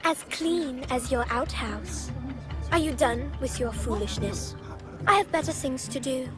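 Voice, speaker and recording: Sly, high-voiced defiant young woman. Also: over music. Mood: mocking, dismissive. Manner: quick-witted, sharp-tongued, playfully sweet. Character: sly, defiant young orphaned pirate's daughter. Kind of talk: dramatic game voice acting.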